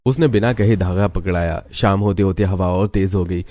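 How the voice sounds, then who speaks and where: A man's voice: sits low, normal speed, warm tone, no room sound, studio quality. A man narrating a scripted Hindi cartoon story.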